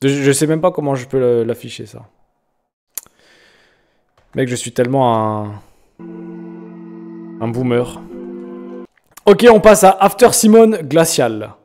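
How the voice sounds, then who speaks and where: A young man from France chatting casually on a live stream, joking and teasing viewers in slangy talk with sudden loud bursts. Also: medium pitch, expressive, slow, pauses often, soft background music. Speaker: streamer reacting to viewers' music.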